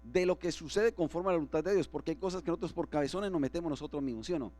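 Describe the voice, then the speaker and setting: A man's voice: on the high side, very fast, warm tone. A man preaching as pastor to his congregation, often asking them for agreement. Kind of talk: sermon. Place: church.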